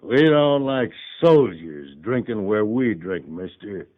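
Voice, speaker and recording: A man's voice: lowish voice, very animated, takes it slowly. A man playing a taunting western barroom bully in a 1950s radio drama, with a rustic drawl. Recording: narrow, muffled 1950s radio broadcast.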